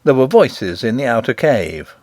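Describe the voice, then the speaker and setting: A man reading a children's storybook as an audiobook narrator, in a measured, expressive literary manner. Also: medium pitch, dry recording, clean studio sound.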